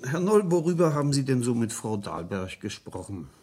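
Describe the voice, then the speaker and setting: Probing police inspector, slightly husky. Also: male voice, mid-range voice, big pitch swings, normal speed, mellow. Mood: probing, matter-of-fact. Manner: matter-of-fact, probing, murmured acknowledgements. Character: calm, probing male homicide police inspector. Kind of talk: 1970s German radio crime drama acting.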